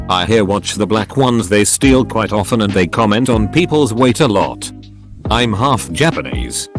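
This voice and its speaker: A man reading online posts aloud as a flat, even scripted narration. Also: fairly low pitch. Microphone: voice-over. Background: soft background music.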